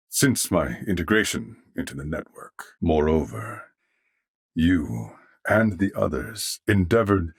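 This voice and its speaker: A man voicing a courteous, earnest mechanical alien life form in a video game, speaking formally and with measured, polite precision in a husky voice. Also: sits low, no echo, studio quality, full character voice. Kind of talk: video game character dialogue.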